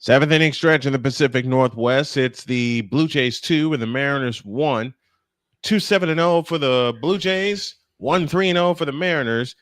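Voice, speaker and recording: A man hosts a live baseball broadcast as play-by-play announcer. His voice sits at a middle pitch and is recorded dry and close, moving between calling the action and chatting. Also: normal speed, no echo. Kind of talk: live baseball commentary with chat.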